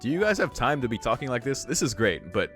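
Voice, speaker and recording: A man's voice: medium pitch, very animated, quick pace, fairly bright tone. A man reading a visual novel aloud as a streamer, with amused, slangy and sweary casual asides. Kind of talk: casual read-aloud with commentary. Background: faint game music.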